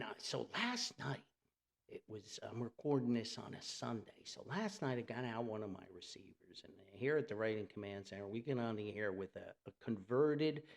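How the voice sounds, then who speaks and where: A man's voice: medium pitch, gravelly. A man hosting a podcast in a casual, unscripted monologue, talking with folksy enthusiasm like a hobbyist sharing what he loves. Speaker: podcast host and radio hobbyist.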